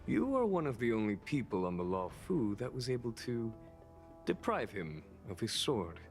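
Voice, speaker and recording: A man playing a calm, unhurried general in a video game, speaking formally and with measured, wry authority. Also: mid-range voice, soft background music. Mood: amused, composed. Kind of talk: scripted video game voice acting.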